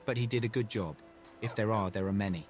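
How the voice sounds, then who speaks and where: Man playing a low-voiced shady guild recruiter. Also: fast talker, soft background music, lightly acted. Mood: smooth, businesslike. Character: shady, calculating male thieves guild recruiter. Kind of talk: fantasy video game dialogue.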